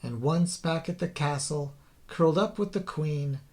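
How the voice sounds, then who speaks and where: A man narrating a rhyming children's story in a theatrical, deliberate way, drawing out the ends of phrases. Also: on the high side, a little mellow. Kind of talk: dramatic scripted children's story narration in rhyme.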